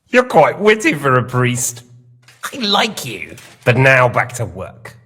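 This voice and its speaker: Smug, sadistic villain lord with swooping delivery. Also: male voice, mid-range voice, huge pitch swings, slow, sharp tone, slightly rough, exaggerated voice. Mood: mocking. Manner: mocking, theatrical, mock-polite menace. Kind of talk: dramatic game acting.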